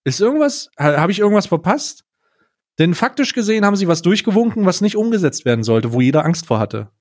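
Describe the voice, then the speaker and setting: A young man co-hosting a podcast, giving blunt, casual opinions in slangy words with halting starts. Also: sits high, big pitch swings. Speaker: podcast co-host.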